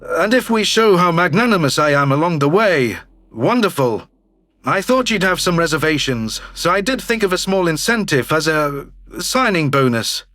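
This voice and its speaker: A man's voice: on the high side, lively intonation, moderate pace, bright, forceful tone, pitched below the performer's own voice. A man voicing a smug, calculating alien governor, slick and self-satisfied, in a theatrical audio drama style. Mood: slick, persuasive. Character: smug, calculating male alien politician, newly elected governor. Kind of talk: scripted sci-fi audio drama dialogue.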